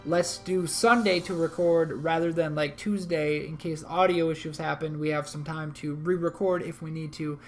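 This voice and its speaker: A man co-hosting a league recap, talking through a match in a rambling, self-correcting way, a little hoarse from a cold. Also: a little high, fairly even intonation, moderate pace, hardly any pauses, soft background music. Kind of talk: casual game recap commentary.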